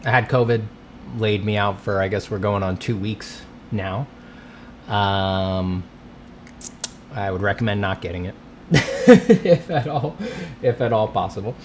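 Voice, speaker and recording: A man's voice: lowish voice, unhurried, darkish tone, a little hiss or hum. A congested man hosting a casual livestream, rambling and greeting his chat between coughs. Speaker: livestream host, artist. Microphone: streaming setup mic.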